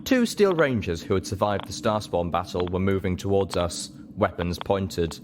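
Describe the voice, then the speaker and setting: A man's voice: low voice, animated, normal speed, background noise. A man reading scripted story narration as an audiobook reader.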